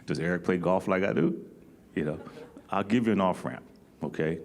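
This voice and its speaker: A man's voice: sits low, moderate pace, vocal fry, darkish tone, a little hiss or hum. A man speaking as a panelist, giving direct advice and checking in with the audience. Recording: long hall reverb.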